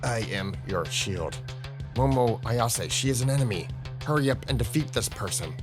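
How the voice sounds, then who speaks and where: A man's voice: medium pitch, expressive, moderate pace, lightly acted. A man voicing a stern, commanding alien protector from a manga, formal and curt. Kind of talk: manga read-aloud. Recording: music under the voice.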